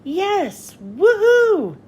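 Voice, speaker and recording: A woman voicing an excitable, determined boy hero in an urgent, exclaiming storybook read-aloud. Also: very high voice, very slow, voice pushed up, full character voice. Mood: thrilled.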